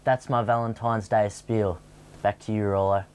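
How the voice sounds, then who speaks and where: A man's voice: fairly low pitch, flat delivery, moderate pace, a little mellow, smooth. A man with an Australian accent presenting a scripted sports segment.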